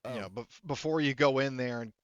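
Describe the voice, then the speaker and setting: A man's voice: mid-range voice, fairly quick, smooth, dry recording, dull audio. A man acting as game master in a casual tabletop roleplaying session, rambling and swearing as he talks. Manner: rambling, profane.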